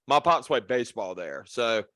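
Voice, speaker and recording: A man's voice: medium pitch, lively intonation, normal speed, sharp tone. A young man hosting a podcast, chatting loosely with easy enthusiasm. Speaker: podcast host. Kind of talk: casual chat.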